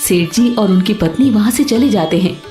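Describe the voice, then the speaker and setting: A woman narrating a scripted story as a storyteller, in an expressive manner. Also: medium pitch, normal speed, reverberant. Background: soft instrumental music with sitar.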